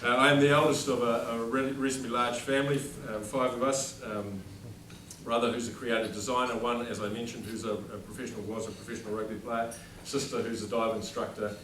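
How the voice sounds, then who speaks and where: A man's voice: mid-range voice, level tone, brisk. New Zealand man speaking across an echoey hall. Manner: self-deprecating, dry jokes, speaks without a microphone to a room. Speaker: headmaster giving an after-dinner speech. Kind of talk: humorous after-dinner speech. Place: large dining hall at a formal dinner. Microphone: no microphone, recorded from across the room. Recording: distant echoey hall with background noise.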